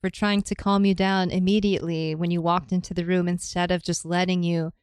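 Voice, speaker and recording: A woman hosting and chatting casually, gentle and reassuring in improv scenes. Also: lowish voice, flat delivery, fairly quick, smooth. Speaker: podcast host, improviser. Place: radio studio.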